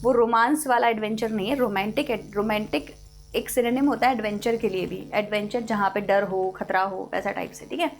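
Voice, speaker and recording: A young Indian woman teaching an English class online, explaining in Hindi mixed with English and reading English passages slowly. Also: mid-range voice, steady tone.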